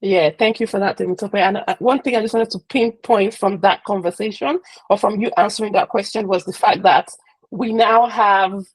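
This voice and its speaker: A woman's voice: mid-range voice, steady tone, moderate pace, slightly rough, no room sound, poor audio. A woman hosting an interview, recapping answers and asking questions in a hesitant way with many fillers. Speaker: host and moderator.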